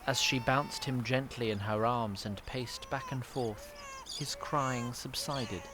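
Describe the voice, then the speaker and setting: A man's voice: mid-range voice, slow pace, background noise. A man giving dramatic scripted narration for a fantasy audio drama.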